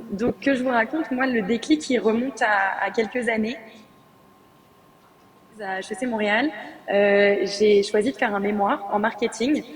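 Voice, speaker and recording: A young woman cofounder joining a panel by video call, telling her story in a lively, chatty way. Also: on the high side, rough recording. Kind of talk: panel talk, personal story.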